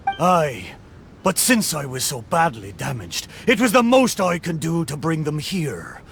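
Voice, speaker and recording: A man's voice: a little high, wildly swooping pitch, unhurried, fairly bright tone, full character voice. A man playing a sentimental talking shipwreck in a video game, speaking like a theatrical old pirate sailor with a stage pirate brogue. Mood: sorrowful, regretful. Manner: theatrical, hearty, archaic sailor phrasing. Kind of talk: video game character acting. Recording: game music behind the voice.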